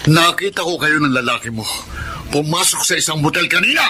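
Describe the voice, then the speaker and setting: An actor playing a hot-tempered, guilt-ridden middle-aged husband in a Filipino radio drama. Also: male voice, fairly high pitch, animated, fairly quick, bright, forceful tone, slight background noise. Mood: furious, hurt.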